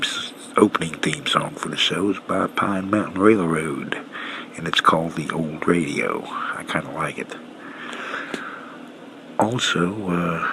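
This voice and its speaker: A man hosting a radio show, talking casually in a halting, low-key, unscripted way. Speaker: host of an old-time radio show. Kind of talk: casual unscripted sign-off talk. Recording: clearly noisy home-made recording.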